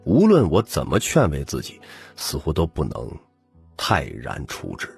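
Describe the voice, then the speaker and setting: A man's voice: very deep voice, wildly swooping pitch, a little slow, vocal fry, darkish tone, husky, soft background music. A man narrating a novel in the first person as a scripted audiobook.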